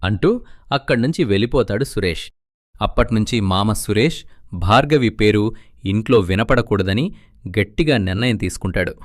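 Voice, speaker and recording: A man narrating a scripted storybook tale in a steady way. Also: on the low side, moderate pace, dry recording, clean studio sound. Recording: faint background music.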